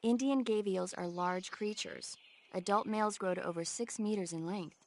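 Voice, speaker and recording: A woman plays an earnest, easily exasperated female advisor briefing a soldier in a video game. Her voice sits at a middle pitch and explains things clearly and informatively, with faint noise behind it. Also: moderate pace, a little mellow, close to an everyday voice. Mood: informative, cautioning.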